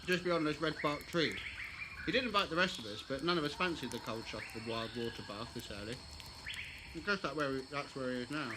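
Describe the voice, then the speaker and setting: A man's voice: a little high, fast talker, natural voice. A man playing a reserved, matter-of-fact settler in an audio drama. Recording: noisy outdoor ambience with birds.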